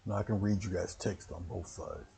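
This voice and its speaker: A man with a very low voice giving a casual, rambling painting lesson on a livestream and greeting viewers by name. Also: steady tone, brisk, vocal fry, background noise. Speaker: painting instructor.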